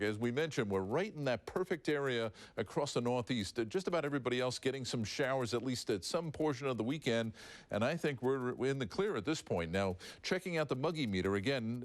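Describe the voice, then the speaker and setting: Man, American accent, TV meteorologist, conversational forecast delivery, medium pitch, dry recording. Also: dry recording. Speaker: TV weather forecaster. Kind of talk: conversational live weather commentary.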